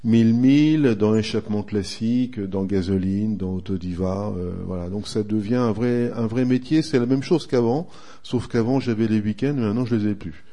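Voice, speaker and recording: A man in his sixties from northern France, a retired racer turned motoring writer, answering interview questions in an unhurried, reminiscing way with frequent hesitations. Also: lowish voice, mellow. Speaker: guest, retired racing driver and motoring writer. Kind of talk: relaxed radio interview answers.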